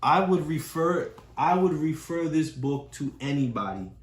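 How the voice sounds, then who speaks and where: Woman, very low voice, patient and explaining, repeats key phrases, echoey room. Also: animated, measured pace. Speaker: parent reading with a child. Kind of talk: talking through a picture book with a child.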